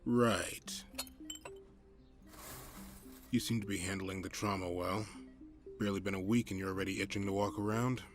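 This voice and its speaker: Casting: male actor as low-voiced hard-nosed detective, cocky and blunt, for an audio drama with light music underneath. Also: steady tone, normal speed, long pauses, natural voice. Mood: probing. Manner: blunt, swearing, condescending. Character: gruff cynical male detective, cocky and determined.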